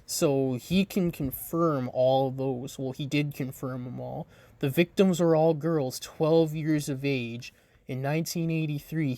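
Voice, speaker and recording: A man hosting a true crime podcast, reading a script haltingly, stumbling over names and adding blunt, swearing asides. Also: medium pitch, darkish tone, no room sound.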